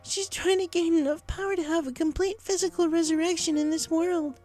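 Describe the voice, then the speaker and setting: A woman's voice: very high voice, steady tone, a little fast, warm tone, soft background music, pitched above the performer's own voice. A woman voicing a tearful, guilt-ridden young female pony in an emotional, pleading story performance. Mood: tearful, remorseful. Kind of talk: dramatic audio fiction reading.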